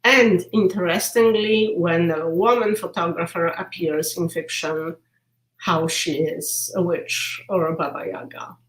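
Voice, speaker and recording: Woman, Polish accent, lowish voice, echoey room, video call, thoughtful professor explaining. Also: fairly even intonation, a little slow. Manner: thoughtful, explanatory, with small hesitations. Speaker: literature professor. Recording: roomy video call audio.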